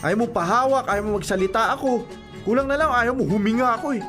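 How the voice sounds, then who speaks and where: A man's voice: very high voice, fast talker, fairly bright tone. A man playing a teasing, clingy young boyfriend in a radio drama, whining playfully and exaggerating his mock politeness. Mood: exasperated. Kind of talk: melodramatic radio drama dialogue in casual Taglish. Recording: music behind the voice.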